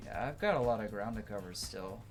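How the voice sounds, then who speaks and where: A man's voice: on the low side, lively intonation, fairly quick. Casual male gamer over game music. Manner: slangy, thinking aloud. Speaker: gaming video host. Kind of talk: casual playthrough commentary.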